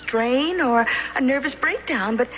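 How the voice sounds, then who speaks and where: A woman's voice: sits high, measured pace. A woman playing a prim, deferential but insistent bank secretary in a radio drama, polite and businesslike. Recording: dull, noisy old radio broadcast.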